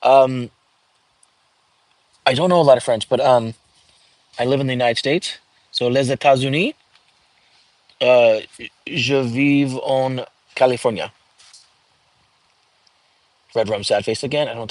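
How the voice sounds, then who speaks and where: Guy doing a casual livestream, calm voice, lots of ums, dry close sound. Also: mid-range voice, unhurried, long pauses, no room sound, low-quality recording. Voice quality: calming voice. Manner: chatty, reads viewer comments aloud, many fillers and restarts. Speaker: livestream host. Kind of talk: casual live-stream chat.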